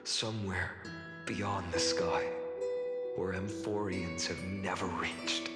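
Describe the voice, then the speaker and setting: An actor playing a noble, protective lord and resolute leader in a fantasy game, speaking earnestly with measured, dramatic pauses. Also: male voice, low-pitched, fairly even intonation. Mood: earnest, heartfelt. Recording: echoey hall reverb under loud music.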